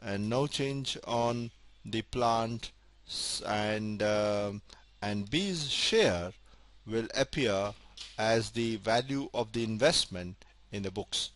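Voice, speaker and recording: A man giving a recorded accounting lecture, methodical and slow to stress key points, often repeating them. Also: fairly low pitch, a bit bright.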